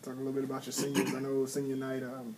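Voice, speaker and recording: A man's voice: medium pitch, monotone, rapid-fire, darkish tone. A man working as a reporter, putting questions to someone at a press conference. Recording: faint room noise.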